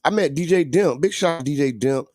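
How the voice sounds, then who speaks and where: A man co-hosts a casual morning talk show with a playful, teasing manner, throwing out shout-outs as he goes. His voice is mid-pitched and recorded close and dry. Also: animated, fast talker, no echo. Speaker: radio show co-host.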